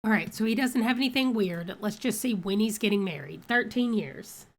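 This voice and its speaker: A woman's voice: medium pitch, expressive, normal speed. A woman hosting a let's play, chatty and reading out dice rolls, her voice congested from a cold. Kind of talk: casual game commentary.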